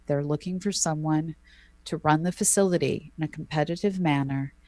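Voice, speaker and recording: A woman co-hosting an astronomy podcast, explaining at length in a measured, thoughtful way with frequent ums. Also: low-pitched, creaky, darkish tone, no echo. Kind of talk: casual explanatory chat.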